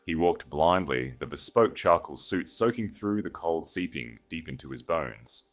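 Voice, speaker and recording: Deep-voiced man narrating a dramatic story. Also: expressive, unhurried. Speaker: audiobook narrator.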